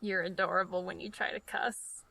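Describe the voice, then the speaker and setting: A woman's voice: fairly low pitch, fairly even intonation, normal speed, creaky, bright, forceful tone, slightly rough, full character voice. A young woman playing a blunt, sardonic, stubborn college girl in an audio drama, with a wry, teasing manner. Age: young adult.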